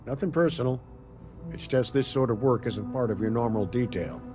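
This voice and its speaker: An actor playing a composed male secret agent in a video game, dry, measured and slightly condescending. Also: medium pitch, close to an everyday voice. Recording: prominent music behind the voice.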